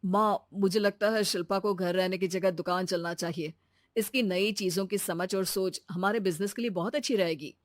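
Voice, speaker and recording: A reasonable young son and husband, voiced by a woman for an animated family story. The voice sits at a middle pitch in a very dry recording, with an earnest, reassuring delivery. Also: moderate pace, no room sound, lightly acted. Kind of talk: animated Hindi moral-story voice acting.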